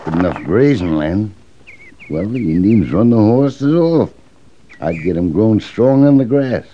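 Muffled old radio, grumbling frontier farmer. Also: male voice, fairly low pitch, expressive, a little slow, dark tone. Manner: folksy, evasive, grumbling. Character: wary, grudging frontier farmer, a stubborn man. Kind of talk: old-time Western radio drama acting. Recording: dull old radio recording, faint noise.

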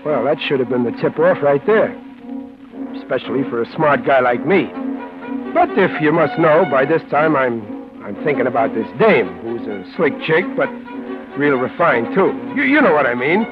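A man's voice: medium pitch, very animated, moderate pace, natural voice. An actor playing a suspicious, streetwise American tough guy in vintage radio comedy-adventure, stammering and slangy. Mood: wry narrating. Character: slangy, bumbling, suspicious tough guy who narrates his misadventure. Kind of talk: 1940s-50s radio comedy-adventure acting. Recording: tinny narrow-band old radio, music behind.

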